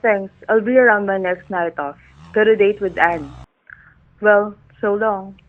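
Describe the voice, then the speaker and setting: A man's voice: high voice, a little slow. A man playing a friendly young motorcycle policeman in a radio play, breezy and familiar, on a tinny recording.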